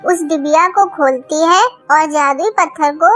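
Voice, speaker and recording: A woman's voice: sits high, steady tone, normal speed, a bit bright, faint music behind. A woman narrator reading a scripted children's story.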